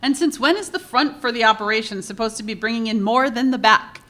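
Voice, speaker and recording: A woman's voice: on the high side, brisk, pushed and bright. An actress playing a practical, skeptical female smuggling partner with a dry, sarcastic delivery in a comic radio play.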